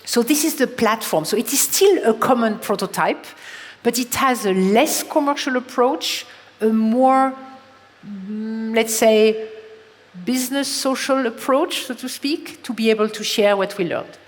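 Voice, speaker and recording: A woman's voice: a little high, unhurried. A woman moderating a conference session, speaking hesitantly and searching for words. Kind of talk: conference presentation. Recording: reverberant hall.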